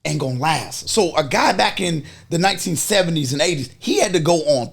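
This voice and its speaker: A man's voice: a little high, lively intonation, fairly quick, creaky, slightly rough. A man in his early forties in a casual group chat, talking in a slangy, nostalgic way with some swearing. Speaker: podcast panelist.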